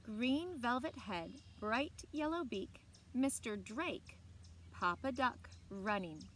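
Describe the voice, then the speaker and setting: A woman in her thirties reading a children's picture book aloud expressively, with deliberate phrasing and dramatic emphasis. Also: fairly high pitch, expressive, a bit bright. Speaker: library story time reader. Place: outdoors on a lawn by trees. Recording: outdoor background noise with birdsong. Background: outdoor ambience, birds.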